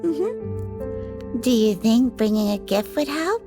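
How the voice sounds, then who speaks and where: A woman voicing a coy, slow-talking vampire's lover. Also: on the high side, sing-song, over music. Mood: attentive. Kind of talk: ASMR audio drama acting.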